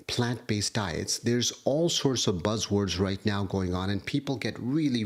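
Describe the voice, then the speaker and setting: A man, a doctor, giving a livestream health lecture, hoarse from a cold and walking through studies point by point. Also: fairly low pitch. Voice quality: husky. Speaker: kidney doctor. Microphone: livestream setup.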